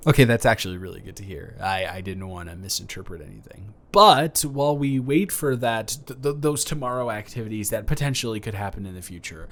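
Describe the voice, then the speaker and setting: A man's voice: fairly low pitch, fairly quick, hardly any pauses, fairly bright tone. A man improvising a flirty, awkward neighbor in a romantic roleplay audio, stammering and earnest as he talks. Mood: bashful, hopeful.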